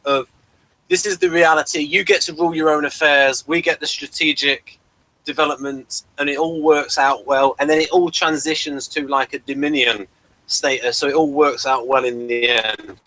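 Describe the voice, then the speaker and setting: A man's voice: a little high, steady tone, normal speed, bright, forceful tone, low-quality recording. A man in casual discussion, explaining his opinions and repeating himself as he forms each point. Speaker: podcast reviewer and discussion panelist.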